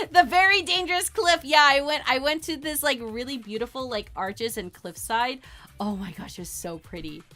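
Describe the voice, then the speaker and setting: A woman's voice: sits high, bright, forceful tone. A woman livestreaming while she draws, chatting casually with viewers in a rambling, bubbly way, often repeating herself and giggling. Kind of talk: casual chat with stream viewers while drawing.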